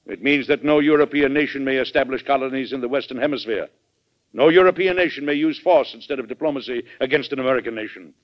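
An actor playing a hearty, forceful American president, emphatic and statesmanlike, in an old-time radio drama. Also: male voice, medium pitch, fast, close to an everyday voice. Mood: firm, forceful. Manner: emphatic, declamatory. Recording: dull vintage radio recording.

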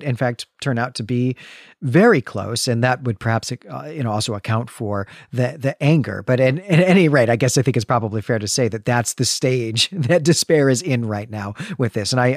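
A man's voice: mid-range voice, dry recording, studio quality. A man co-hosting a literary podcast, talking through a story in an articulate, analytical, conversational way. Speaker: podcast co-host. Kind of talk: conversational literary commentary and plot recap.

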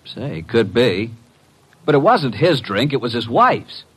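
An actor playing a wry, cheeky, streetwise detective's assistant with a breezy, mock-polite manner, in 1950s radio drama style. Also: male voice, medium pitch, big pitch swings, measured pace, a bit bright, husky, exaggerated voice. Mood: matter-of-fact.

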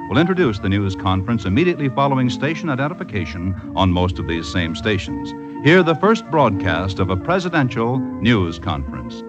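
An American man working as a network announcer, reading scripted credits and notices in 1950s radio style. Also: medium pitch. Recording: dull old radio recording with music.

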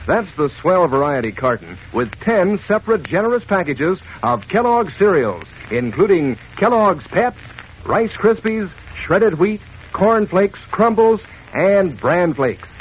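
Animated male vintage radio pitchman. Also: measured pace. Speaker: commercial announcer. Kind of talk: 1940s radio advertising pitch. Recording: muffled old radio recording, faint noise.

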